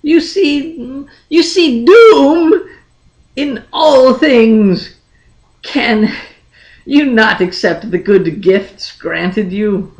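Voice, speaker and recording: A man's voice: very high-pitched, extremely slow, echoey room, voice pushed up, heavily acted. A man voicing a devoted, frank young noblewoman in a fantasy audiobook, earnest, formal and persuasive. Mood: tender reproach. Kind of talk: dramatic fantasy audiobook character reading.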